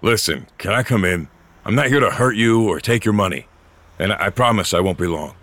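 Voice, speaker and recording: An actor playing a weary, guilt-ridden, very old augmented great-grandfather in an audio drama, with a hesitant, low-key manner. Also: brisk, fairly bright tone. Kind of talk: audio fiction acting with first-person narration.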